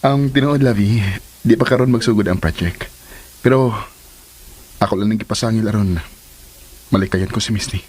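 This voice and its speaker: A man's voice: on the low side, normal speed, pauses often, a little mellow, lightly acted. An actor playing a devoted, playful and tender male lover in a radio drama. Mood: earnest.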